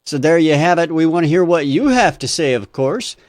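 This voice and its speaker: A man's voice: a little high, expressive, brisk, darkish tone, dry recording, studio quality. A man hosting an online music review show, wrapping up with upbeat, joking sign-offs. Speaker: host.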